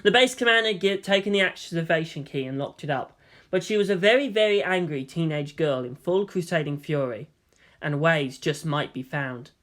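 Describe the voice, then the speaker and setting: Guy reading a story dramatically, lively pitch swings, bright forceful tone. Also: moderate pace. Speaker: story narrator. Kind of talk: dramatic scripted narration of fan fiction.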